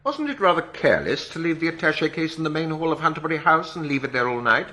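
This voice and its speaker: An actor playing a shrewd, insistent defence barrister with a pointed, theatrical manner in a British radio drama. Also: male voice, fairly high pitch, big pitch swings, fast, sharp tone. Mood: pressing. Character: shrewd, pressing defence barrister, Sir Edmund.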